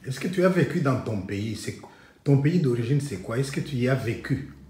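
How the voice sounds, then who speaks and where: A man hosting an interview, putting calm questions to his guest. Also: medium pitch, moderate pace. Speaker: interviewer, channel host. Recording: echoey room.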